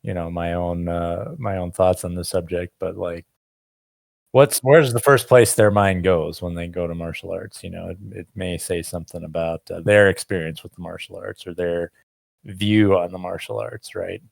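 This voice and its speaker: A man's voice: sits low, steady tone, normal speed, vocal fry, no room sound. A man co-hosting a casual podcast, talking in a rambling, reflective way with many fillers. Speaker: podcast co-host, martial arts teacher.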